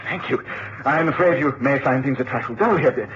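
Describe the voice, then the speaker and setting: A man's voice: medium pitch, moderate pace, fairly bright tone, close to an everyday voice. Male actor as frightened English aristocrat, hesitant stammer, anxious pleading, vintage radio drama, dull and noisy recording. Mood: flustered, eager. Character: nervous, fearful English lord, desperate for company. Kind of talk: 1960s radio drama acting.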